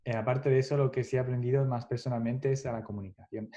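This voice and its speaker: Mellow-voiced man, thoughtful. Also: mid-range voice, fairly even intonation, brisk. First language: Spanish. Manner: reflective, restarts phrases often, laughs lightly. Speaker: guest developer. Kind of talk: casual podcast interview. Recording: dull lo-fi podcast audio.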